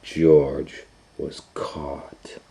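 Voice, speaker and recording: A man's voice: very deep voice, flat delivery, extremely slow, creaky, mellow. A man hosting storytime, telling a children's story informally with hesitant, conversational asides. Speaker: storytime host and reader.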